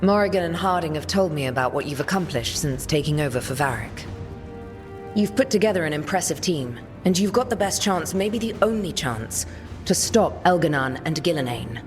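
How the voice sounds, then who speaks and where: An actress playing a weary, resolute woman leader in a video game, speaking in a measured, grave, commanding way. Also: deep voice, lively intonation, in a large hall, music in the background. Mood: composed. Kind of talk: video game cutscene acting.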